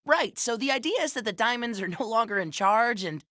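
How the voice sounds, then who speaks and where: A friendly young boy hero from a cartoon game. The voice is high and bright, casual and good-natured, with an earnest, slightly awkward charm. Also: expressive, fairly quick, no room sound, studio quality. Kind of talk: animated game cutscene acting.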